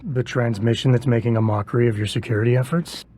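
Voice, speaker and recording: An actor playing a gruff, stoic, sardonic security operative in a video game cutscene, terse and gravelly. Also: male voice, medium pitch, fairly even intonation, fast, a little mellow, a little hiss or hum, natural voice. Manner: terse, dry sarcasm.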